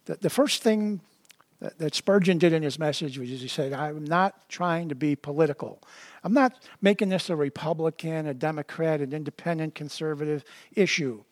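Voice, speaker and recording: A man preaching a sermon, reading scripture slowly and deliberately, then talking it over in a conversational way and often repeating words as he starts a sentence. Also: on the high side, no echo.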